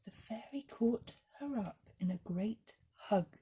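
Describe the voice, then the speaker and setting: A woman reading a children's story as narrator, in a gentle, deliberate storytelling manner. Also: medium pitch, lively intonation, husky. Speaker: story reader.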